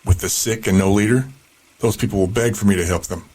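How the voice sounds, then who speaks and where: An actor playing a commanding, callous compound boss in an audio drama, curt and self-assured. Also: male voice, lowish voice, steady tone, fairly quick, darkish tone, close to an everyday voice. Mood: cold, businesslike. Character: commanding, callous male leader of a slaving compound.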